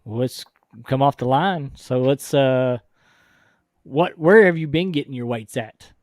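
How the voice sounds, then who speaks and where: A man hosts a laid-back fishing chat in a voice made hoarse and deeper by illness. He talks in a relaxed way and reads out viewer comments. The sound is dry and close, with middling pitch. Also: unhurried, dry recording. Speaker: podcast host. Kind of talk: casual chat on a live fishing podcast.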